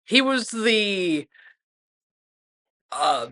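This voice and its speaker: A woman co-hosting a podcast, chatting casually and posing questions in a halting, hesitant way. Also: mid-range voice, big pitch swings, a bit bright. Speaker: podcast co-host.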